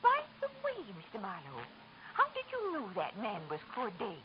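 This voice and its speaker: A woman's voice: mid-range voice, huge pitch swings, normal speed. An actress playing a polite, anxious married woman in a 1940s radio drama. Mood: composed, tender. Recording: dull, noisy old radio recording.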